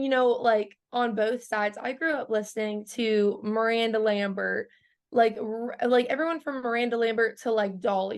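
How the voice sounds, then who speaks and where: A woman in her early twenties, a country singer chatting casually in an interview, filling her talk with "like" and laughing often. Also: fairly high pitch. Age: 20s. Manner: bubbly, laughs often, lots of "like" fillers.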